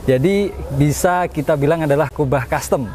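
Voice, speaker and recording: A man's voice: on the high side, normal speed, a little mellow, a little hiss or hum. Man conducting a casual interview. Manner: echoes and confirms the guest's answers.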